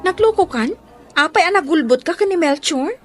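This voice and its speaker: A woman's voice: extremely high pitch, lively intonation, moderate pace, faint music behind. An actress playing a chatty, nosy woman close to the heroine in an Ilocano radio drama. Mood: surprised, prying.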